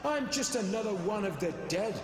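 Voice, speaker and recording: A man's voice: high-pitched, expressive, normal speed. A man playing the bitter, aggrieved ghost of a young blacksmith in a video game, sulky and complaining. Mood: bitter self-pity. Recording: long cavernous reverb, game music behind.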